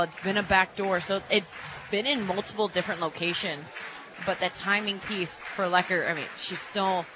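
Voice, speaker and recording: A woman's voice: mid-range voice, noisy background. An American woman giving casual, conversational color commentary on a sports broadcast. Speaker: color commentator, former player. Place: radio broadcast booth at a ballpark.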